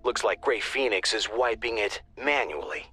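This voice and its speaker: Man, bright forceful voice, tactical game handler, brisk, dry wit, background music. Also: lowish voice, animated, husky, full character voice. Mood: brisk, businesslike. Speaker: tactical mission controller. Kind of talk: scripted game mission briefing.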